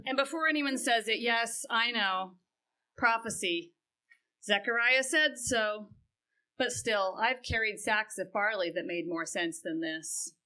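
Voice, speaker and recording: A woman plays a tired, sardonic donkey in a church drama. The voice sits a touch high and rings bright and forceful. The asides come out dry and knowing. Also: measured pace. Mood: wry. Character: wry weary donkey carrying Jesus. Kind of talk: amateur church dramatic reading.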